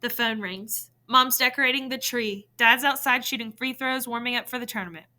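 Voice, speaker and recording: A woman reading a novel in verse aloud, in an expressive storytelling style. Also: on the high side, moderate pace.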